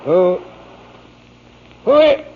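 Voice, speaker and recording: An actor playing a menacing, cowardly, drunken waterfront killer in a 1940s radio drama. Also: male voice, high-pitched, sing-song, drawn-out words, exaggerated voice. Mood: panicked pleading. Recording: muffled, noisy 1940s radio broadcast recording.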